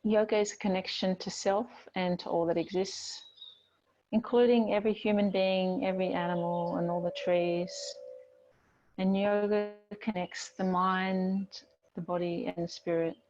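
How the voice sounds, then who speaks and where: Woman, yoga teacher, gentle guided instruction, soothing, unhurried, very level intonation, dull lo-fi sound. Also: medium pitch, level tone.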